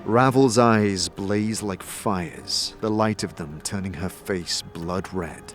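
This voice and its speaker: A man's voice: deep voice, slow pace, faint music behind. A man reading scripted, dramatic narration for a fantasy audio series.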